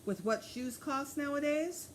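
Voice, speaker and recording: A woman voicing a brisk, matter-of-fact female transit cop in a children's storybook reading. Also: high voice, slight background noise, voice pushed up. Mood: businesslike.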